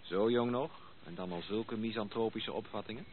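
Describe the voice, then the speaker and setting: Man as a formal gentleman, tinny old recording. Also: fairly low pitch, moderate pace, close to an everyday voice. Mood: gently teasing. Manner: formal, courteous, hesitant. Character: polite, slightly flustered gentleman visitor, lost on the way. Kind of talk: Dutch radio play acting. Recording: tinny band-limited old radio play recording, noisy.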